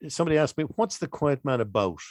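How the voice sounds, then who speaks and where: A man's voice: medium pitch, expressive, quick pace, a little mellow, dry recording, clean studio sound. A man from the west of Ireland with an Irish accent, talking as a podcast guest and repeating phrases for emphasis. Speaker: podcast guest, film expert. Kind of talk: conversational interview commentary.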